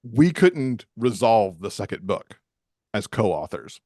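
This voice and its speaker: Low-voiced American man chatting, dry close sound. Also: very animated, slow, warm tone, no room sound. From Michigan, USA. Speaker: podcast guest, romance author. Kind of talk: casual conversational interview.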